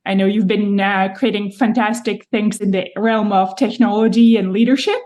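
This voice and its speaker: A woman hosting a podcast, reading a measured, reflective introduction and then welcoming her guest. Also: mid-range voice, fairly even intonation, a little mellow. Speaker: podcast host.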